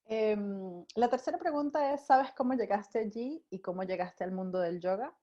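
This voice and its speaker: A woman hosts an interview, with a voice that sits in the middle of the range. She asks her questions softly and keeps her acknowledgements short. The recording sounds somewhat dull and lo-fi. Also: medium pitch, normal speed. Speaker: podcast host.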